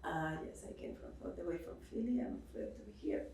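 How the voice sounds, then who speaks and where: A woman's voice: on the low side, fast talker, vocal fry, warm tone. Cuban-born lady on a panel, lots of ums and restarts, echoey room, mic a bit far off. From Cuba. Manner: hesitant, many fillers and restarts. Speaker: panelist, professor. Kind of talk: spontaneous academic panel remarks. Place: seminar room. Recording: roomy echo, distant mic.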